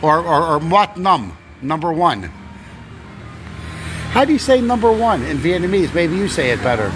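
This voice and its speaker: A man's voice: a little high, sing-song, moderate pace, fairly bright tone, soft background music. A man vlogging casually, rambling and repeating words with loose grammar, recorded outdoors. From Canada. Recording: noisy outdoor street recording.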